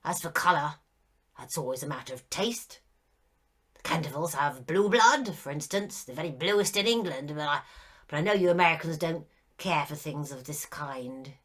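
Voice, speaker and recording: A woman's voice: sits low, moderate pace. A woman reading a story aloud, voicing a petulant, lonely old male ghost who turns meek and weary.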